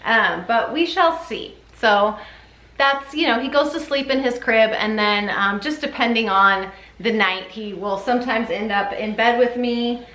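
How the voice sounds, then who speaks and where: A woman's voice: fairly high pitch, a little slow, a bit bright. A woman giving a casual, chatty vlog update as a mother, sometimes slipping into sing-song baby talk. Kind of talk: casual chat to camera. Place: indoor room at home with ceiling fan. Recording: roomy echo, faint background noise.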